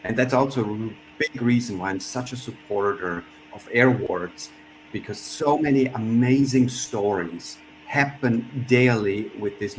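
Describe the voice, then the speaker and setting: A man from Switzerland speaking as a panelist in an online discussion, reflective and pausing often as he restarts his sentences. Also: on the low side, darkish tone. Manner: reflective, with frequent pauses and restarts. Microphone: video call. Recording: noisy video-call audio.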